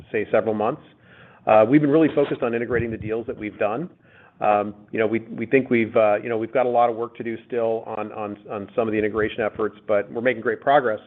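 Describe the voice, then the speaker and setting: A man speaking as a company executive, giving unscripted, halting business answers with repeated words on a conference call. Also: on the low side. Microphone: conference call line. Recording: phone-like narrow-band conference call audio.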